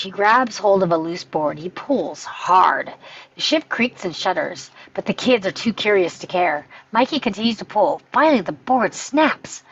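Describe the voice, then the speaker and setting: Female narrator for script stage directions, raspy edge, medium pitch, slightly muffled lo-fi recording. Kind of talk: scripted narration at a table read.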